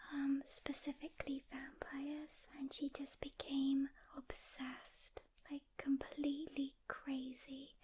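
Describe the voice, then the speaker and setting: A woman playing a calm, weary demon hunter mentoring a newcomer in a gentle, hesitant whisper, as soft-spoken ASMR roleplay. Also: high voice.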